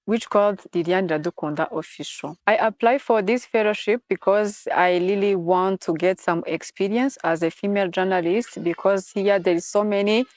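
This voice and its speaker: A woman's voice: mid-range voice, normal speed, few pauses, darkish tone, no echo. A young woman from Rwanda, a freelance journalist, giving a testimonial with simple, hesitant phrasing. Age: young adult. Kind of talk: testimonial interview.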